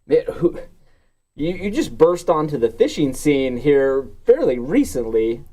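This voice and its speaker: A man's voice: fairly high pitch, big pitch swings, a little slow, warm tone, poor audio. A male host in casual chat, upbeat and friendly, calling his guest "man".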